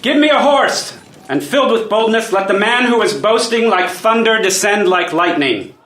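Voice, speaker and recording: An actor playing a proud, boastful noble suitor in a grandiose classical drama reading. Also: male voice, mid-range voice, normal speed, natural voice, poor audio. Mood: rousing. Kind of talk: unrehearsed table read of classical verse drama.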